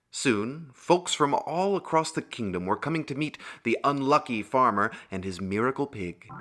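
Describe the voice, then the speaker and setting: A male storyteller narrates a fairy tale with theatrical, highly animated intonation. His voice is bright and mid-pitched, with faint music behind him. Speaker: puppet show narrator.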